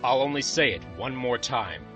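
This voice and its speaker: A man acting a commanding, earnest young warrior prince in an audio drama, firm and measured. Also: mid-range voice, animated, distant mic, lo-fi sound, over music, close to an everyday voice. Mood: stern.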